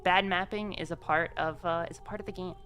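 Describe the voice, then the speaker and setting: A woman's voice: fairly low pitch, fairly even intonation, fairly quick, a bit bright, faint music behind. A woman casually explaining things as game master of a tabletop game, often checking that players follow. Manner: frequent check-in tags.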